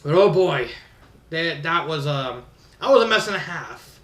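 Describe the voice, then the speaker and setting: A man with a high-pitched voice delivers a casual review. He rambles and leans on his points with exasperated emphasis. The recording is echoey, and he sounds some distance from the microphone. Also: expressive, measured pace, fairly bright tone. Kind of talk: casual spoken fan fiction review. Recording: roomy echo, distant from mic.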